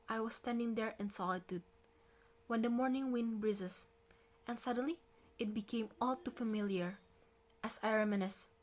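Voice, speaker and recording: A woman reading poetry aloud in an expressive recitation style. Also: a little high. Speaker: poetry reader.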